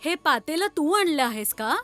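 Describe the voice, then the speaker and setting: High-voiced, sceptical elder sister, acted by a woman. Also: lively intonation, normal speed, a bit bright, soft background music. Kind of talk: children's cartoon story dialogue.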